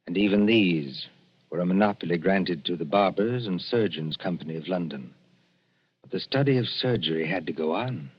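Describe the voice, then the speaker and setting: A man's voice: deep voice, expressive, breathy edge. A man narrating a suspense radio show in an ominous, dramatic, scripted 1940s style. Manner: ominous, deliberate storytelling. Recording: muffled vintage radio recording with music.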